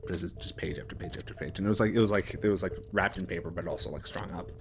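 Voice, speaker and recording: A man casually narrating a tabletop game as game master, speaking in a halting, conversational way. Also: low-pitched, fairly even intonation, background noise.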